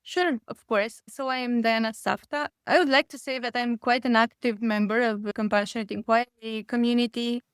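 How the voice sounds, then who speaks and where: A woman's voice: on the high side, fairly even intonation, moderate pace, few pauses, smooth, no echo, studio quality. A woman, speaking as a guest in a conversational interview. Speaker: podcast guest, psychotherapist.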